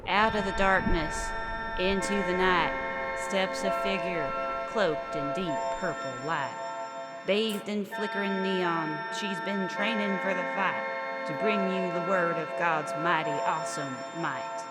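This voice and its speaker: A woman's voice: on the low side, unhurried, over loud music, lightly acted. Woman playing a folksy Southern lady preacher. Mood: showy, folksy. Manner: folksy, rambling, emphatic. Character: zealous chatty Southern woman Baptist preacher, late-night apocalyptic radio. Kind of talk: midnight radio sermon.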